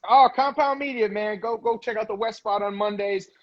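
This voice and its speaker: Brash high-voiced New comic. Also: male voice, normal speed, highs cut off, a little hiss or hum. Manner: brash, profane, playful. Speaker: comedian guest. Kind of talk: casual comedic riffing.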